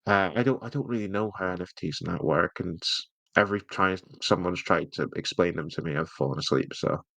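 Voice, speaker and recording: A man with a fairly low voice and a British accent chats casually as a podcast co-host. He rambles wryly and swears freely, and the sound is close and dry. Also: brisk, a bit bright, no room sound. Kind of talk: casual banter.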